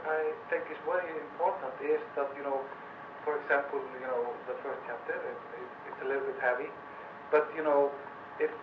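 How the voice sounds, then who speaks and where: A man with an Icelandic accent, a film director, answering questions in a halting, soft-spoken way with many fillers. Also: fairly high pitch, noisy background. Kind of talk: post-screening Q&A answers. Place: cinema auditorium. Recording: distant, tinny, echoey hall.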